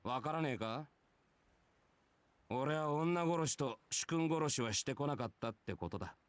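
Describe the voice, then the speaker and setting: A man voicing a brash, laid-back young warrior with rough, casual speech in a Japanese anime-style game. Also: mid-range voice, animated, fast talker, dry recording, natural voice. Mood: proud.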